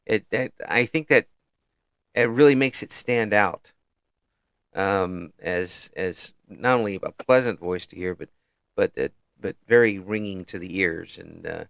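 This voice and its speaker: Low-voiced, halting male interview host. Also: creaky, fairly bright tone, no room sound, highs cut off. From Illinois, USA. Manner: halting, many restarts and chuckles. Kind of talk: casual online interview.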